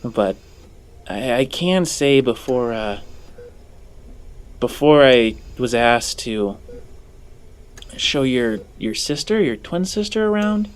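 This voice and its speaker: A man voicing a young orphan boy in a tabletop game, hesitant and halting in his speech. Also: medium pitch, animated, clear, resonant tone. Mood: puzzled, hesitant.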